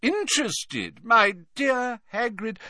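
A man voicing a pompous older male professor, slow and theatrical in his speech, sounding set back from the mic. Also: extremely high pitch, very animated, sharp tone, dry recording, studio quality, pitched above the performer's own voice, exaggerated voice.

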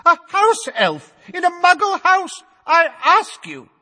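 A man voicing a blustering, pompous middle-aged official who shouts and sputters, reading with long hall reverb. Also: wildly swooping pitch, slow, heavily acted. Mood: shouting. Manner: shouting, sputtering, high and strained. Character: blustering, pompous middle-aged male minister, easily enraged.

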